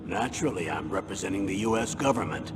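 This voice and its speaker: A man playing a grave, authoritative middle-aged military commander giving a measured, formal briefing in a video game. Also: low-pitched, expressive, in a large hall. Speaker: colonel giving a mission briefing.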